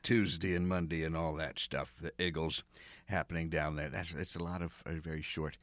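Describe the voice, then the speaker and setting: A man from outside Philadelphia hosting a podcast interview, speaking in a slow, soothing, deliberate way. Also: very deep voice, flat delivery, a bit bright, no echo. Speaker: podcast host.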